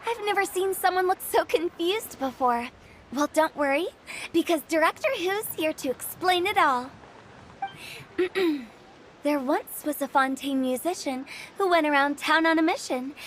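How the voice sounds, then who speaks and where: A woman's voice: very high voice, a little hiss or hum. A woman playing a mischievous, theatrical young funeral director in a video game, with a showy, teasing delivery. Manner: showy, teasing, sing-song flourishes.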